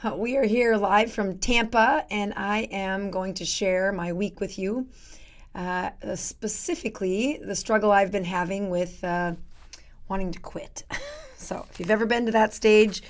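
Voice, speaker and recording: Raspy-voiced woman hosting a live show. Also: mid-range voice, fairly even intonation, a little slow. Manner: chatty, many fillers and laughs. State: losing her voice. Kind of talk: casual live-stream talk.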